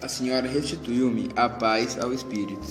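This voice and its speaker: A man's voice: medium pitch, steady tone, normal speed, close to an everyday voice. A Brazilian man playing an anxious, earnest and polite lover in an amateur school radio drama. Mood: grateful, polite. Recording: echoey hall, long reverb, faint music. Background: faint music.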